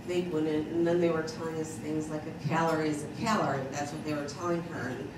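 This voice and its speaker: Low-voiced woman in a reverberant hall. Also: fairly even intonation, brisk. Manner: plain, conversational, with restarts. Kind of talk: panel talk to an audience. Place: large hall at a college panel table. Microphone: handheld mic, heard from afar. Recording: echoey hall, distant mic, hiss.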